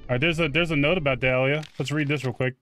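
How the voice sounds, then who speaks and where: A man's voice: mid-range voice, fairly even intonation, fast, fairly bright tone, faint music behind. A man giving casual, informal video game commentary with slang and mild swearing. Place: close mic indoors, game music behind.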